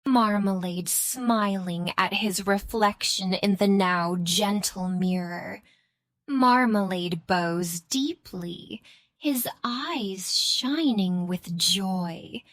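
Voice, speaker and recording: A woman's voice: medium pitch, drawn-out words, no echo, studio quality. A woman reading scripted narration for a children's bedtime story.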